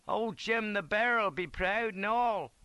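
A man's voice: sits high, takes it slowly, pushed and bright, clear, resonant tone, no echo, pitched above the performer's own voice, exaggerated voice. A man voicing a chirpy, proud wounded cavalry trooper with a working-class English accent and a piping, gossipy manner, in an audiobook. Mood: proud, eager.

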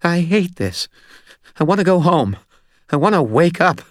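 A little man in a storybook scene, frightened to the edge of hysteria. The voice sits very high and swoops about, shaky, pleading and prone to stammer, recorded close and dry. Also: very animated, normal speed, no echo. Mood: shaky despair. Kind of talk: audiobook character acting.

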